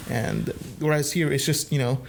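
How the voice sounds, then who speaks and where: Male podcast guest, slightly high voice, from El Salvador, conversational youth minister. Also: normal speed, creaky, slight background noise. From El Salvador, moved to the US at age eight. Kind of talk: casual conversation.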